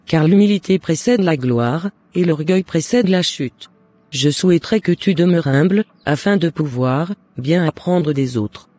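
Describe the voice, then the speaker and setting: A man's voice: fairly high pitch, expressive, measured pace, a little mellow, no room sound. A man playing a loving, devout mother in a cartoon, giving earnest and encouraging advice in formal words. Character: devout, loving mother advising her son. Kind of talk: scripted cartoon dialogue.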